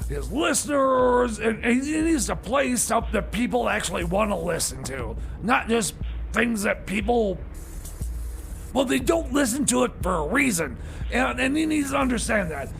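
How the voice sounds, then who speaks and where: A man playing a flustered, apologetic substitute radio DJ on a comedy show, stammering and halting in exasperation. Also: very animated, fairly bright tone, soft background music, exaggerated voice. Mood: rattled, apologetic. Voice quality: high, heavily creaky voice. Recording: faint background noise.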